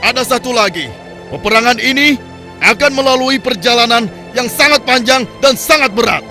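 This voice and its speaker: A man's voice: very high-pitched, lively intonation, normal speed, pushed and bright, faint music behind, heavily acted. A man voicing a fervent military commander rallying his army in a declamatory way for a cartoon. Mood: rousing. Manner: declamatory, rousing. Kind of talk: Islamic cartoon dubbing, oratory.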